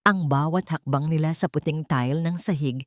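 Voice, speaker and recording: A woman's voice: sits low, moderate pace, dry recording, studio quality. A woman narrating a scripted story in a dramatic storytelling style.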